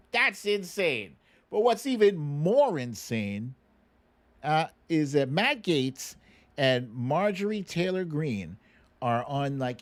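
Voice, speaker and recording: A man hosting casual, comic political commentary as storytelling, emphatic and slangy, with swearing and dramatic pauses. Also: on the high side. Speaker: host of a political commentary show.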